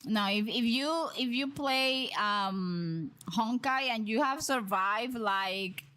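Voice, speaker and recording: A woman's voice: fairly high pitch, takes it slowly, pushed and bright. A woman streamer with a Dominican accent chatting casually with viewers, rambling with frequent restarts and repeated words. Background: music.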